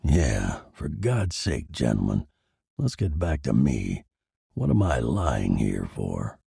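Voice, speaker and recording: A man's voice: rumbling low, big pitch swings, takes it slowly, vocal fry, dark tone, husky, dry recording, studio quality, pitched below the performer's own voice, heavily acted. A man voicing a bitter, sardonic dead husband who narrates his own noir horror story in a theatrical way. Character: dead murdered husband, bitter, wry, macabre narrating corpse.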